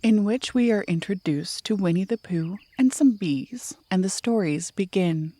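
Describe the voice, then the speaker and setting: A woman's voice: medium pitch, a little slow, mellow, no echo. A woman narrating a scripted children's story as a storyteller.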